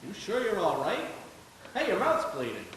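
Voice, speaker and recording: A man playing a hearty, warm-hearted small-town friend in a live radio play, animated in delivery. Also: high voice, normal speed, a little hiss or hum, full character voice. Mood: concerned. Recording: distant echoey hall, loud music.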